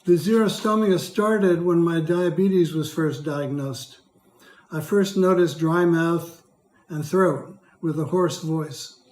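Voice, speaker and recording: Hoarse older man, echoey room. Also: a little high, takes it slowly, a little mellow. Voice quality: hoarse, dry voice. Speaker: patient speaker. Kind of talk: prepared testimony read aloud.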